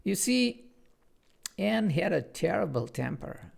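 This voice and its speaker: A man with a Bulgarian accent reads his own children's story aloud in an earnest, chatty way. His voice sits a touch high for a man and carries a gravelly rasp. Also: sing-song, slow. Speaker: author reading his own children's book. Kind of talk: chatty intro, then storybook read-aloud.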